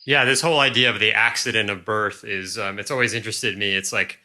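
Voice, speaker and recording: A man's voice: on the low side, steady tone, a little fast, bright, forceful tone. A man hosting a casual interview, agreeable and asking open questions. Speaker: podcast host, interviewer.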